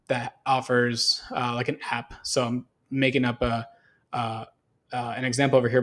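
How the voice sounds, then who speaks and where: A man giving a casual, unscripted screen-share tutorial as a marketing consultant, talking through examples in a conversational, explanatory way. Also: mid-range voice.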